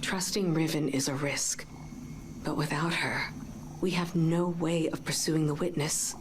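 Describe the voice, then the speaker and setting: A woman playing a weary, resolute war leader in a game, serious and measured. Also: sits low, fairly even intonation, background noise. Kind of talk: dramatic game cutscene dialogue. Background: music.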